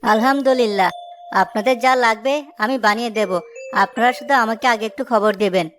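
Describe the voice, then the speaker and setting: Woman as a cartoon market stallholder, raised pitch, music clearly underneath. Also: brisk, lightly acted. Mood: humble, grateful. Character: village samosa sellers, one humble, one jealous. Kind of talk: cartoon story dialogue.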